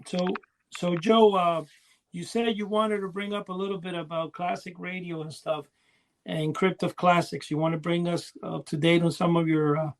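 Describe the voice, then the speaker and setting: High-pitched man, chatty and rambling. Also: level tone, moderate pace. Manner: chatty, rambling, detail-heavy. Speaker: podcast co-host. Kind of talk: casual rambling reminiscence about old toys.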